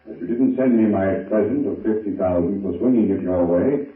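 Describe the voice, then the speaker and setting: An actor playing a smooth, corrupt senator who talks in a sly, measured way, in a 1930s radio drama. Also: male voice, sits low, narrow, tinny audio, close to an everyday voice. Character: smooth corrupt middle-aged male senator. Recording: scratchy old radio recording, echoey, distant.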